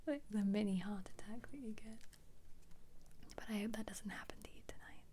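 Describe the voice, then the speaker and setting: A woman speaking as an ASMR host in a gentle whisper, musing casually and without hurry as she flips through a book. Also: medium pitch, brisk, slight background noise. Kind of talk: soft-spoken ASMR book flip-through.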